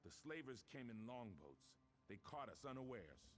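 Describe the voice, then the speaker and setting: An actor playing a proud, stern ship captain in a game, speaking in a formal, theatrical way. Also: male voice, mid-range voice, very animated, normal speed. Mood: grim.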